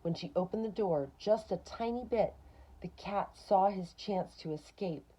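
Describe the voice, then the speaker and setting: A woman's voice: mid-range voice, unhurried, mellow, low-quality recording. A woman reading a picture book aloud to children, expressively, with short asides of her own.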